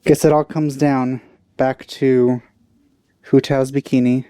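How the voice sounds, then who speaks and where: A man giving casual, low-key commentary as he plays a video game, deadpan in delivery. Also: slow, smooth, no echo, studio quality. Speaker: let's play host.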